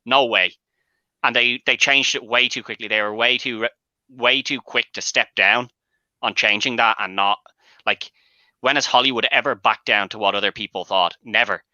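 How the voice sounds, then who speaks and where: A man's voice: mid-range voice, fairly even intonation, normal speed, bright, forceful tone, no room sound, muffled recording. A man debating films casually on a show, making emphatic, argumentative points with some swearing. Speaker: panelist and host of a movie debate show.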